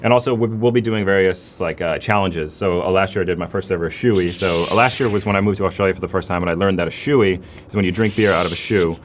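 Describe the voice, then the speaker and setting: A man's voice: low-pitched, fast, hardly any pauses, slight background noise. An American man co-hosting a livestream, chatting casually in an affable, rambling way. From Connecticut, United States. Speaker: livestream co-host reading the chat.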